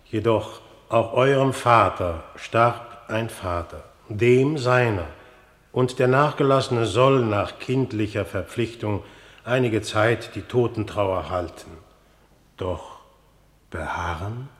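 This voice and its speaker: An actor playing an authoritative, smooth-talking middle-aged king in a classical radio drama, speaking formally and with regal measure. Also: on the low side, slow. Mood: stern, courtly. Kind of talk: classical German stage-verse radio drama acting.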